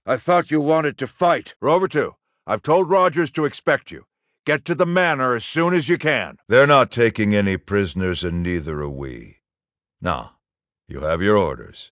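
A man plays a stern, middle-aged American army general briefing troops in a video game. His pitch moves widely as he hands out clipped, authoritative orders, and the sound is close and dry. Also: fairly bright tone, no room sound, lightly acted. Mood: impatient, urgent. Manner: clipped, authoritative military delivery. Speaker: military commander.

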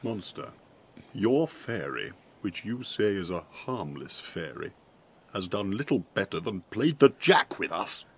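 An actor playing a drunken, self-important butler, pompous and aggrieved in a Shakespearean audio drama. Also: male voice, low-pitched, big pitch swings, unhurried, breathy edge, full character voice.

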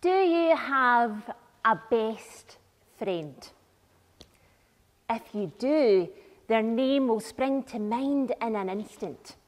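A woman in her thirties giving a conversational, reflective sermon in a church, pausing between phrases. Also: on the high side, animated. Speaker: minister leading the service. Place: large church interior, at the lectern. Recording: reverberant church hall.

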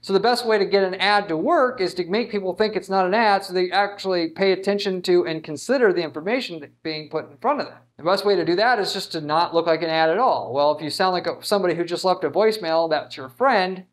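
Male marketing instructor, thirties, high-pitched voice, emphatic and informal explainer delivery. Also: quick pace, few pauses. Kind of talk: informal whiteboard lesson, explaining to camera. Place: indoors in front of a whiteboard. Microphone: lapel mic.

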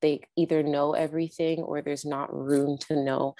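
A woman's voice: low voice, little pitch movement, normal speed, mellow, no echo. A young woman, a film director, answering interview questions casually and earnestly over a video call with an earbud mic, with lots of "like" and "um". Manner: casual, earnest, many fillers like "like" and "um". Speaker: film director and network founder. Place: indoors with a virtual background. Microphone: wired earbud mic on a video call.

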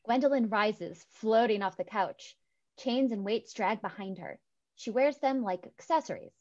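A woman's voice: fairly high pitch, a little slow. A young woman reading stage directions as scripted narration through a headset on a video call. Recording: dull, lo-fi video-call audio.

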